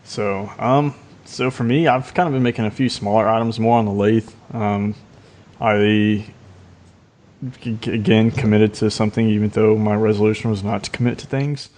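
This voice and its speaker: Man, lowish pitch, informal podcast co-host, conversational delivery. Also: steady tone, moderate pace, a little mellow. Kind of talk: casual chat.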